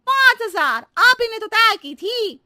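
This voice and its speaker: A woman's voice: animated, unhurried, no room sound, clean studio sound, full character voice. A woman voicing a scatterbrained, chatty housemaid who answers everything in roundabout riddles, in a cartoon story. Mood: pleading. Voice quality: very high, shrill and bright. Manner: roundabout, playful, cheeky pleading.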